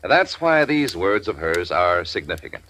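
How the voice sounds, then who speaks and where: A man reading a 1940s radio commercial in a smooth, persuasive way. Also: low-pitched, very animated, moderate pace, pushed and bright. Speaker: commercial announcer.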